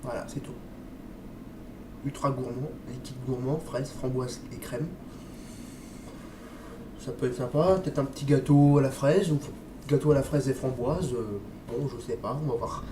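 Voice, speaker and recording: A man's voice: medium pitch, normal speed, pauses often. A man with a slight cold chatting casually through a product review vlog, hesitant and friendly, often stopping to address his viewers. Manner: chatty, hesitant, says "les amis" and "hop" often. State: stuffy with a cold, coughing. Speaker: vape liquid reviewer. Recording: echoey room, distant mic, noisy.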